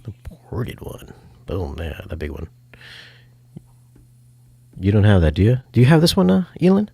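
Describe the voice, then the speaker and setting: Gravelly, husky man chatting casually. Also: fairly low pitch, huge pitch swings, normal speed, warm tone, no echo. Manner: informal, thinking aloud as reads specs. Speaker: host and speaker reviewer. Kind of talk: casual chat about audio gear.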